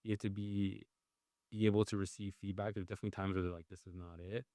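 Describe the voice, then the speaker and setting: A man with a fairly low voice talks through his answers as a podcast guest, reflective and loose, circling back with fillers. The sound is dry and close, with no room around it. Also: little pitch movement, fast talker, dark tone, dry recording. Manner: thoughtful, with many filler "like"s and restarts. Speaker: guest, writer and journalist. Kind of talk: conversational interview answers.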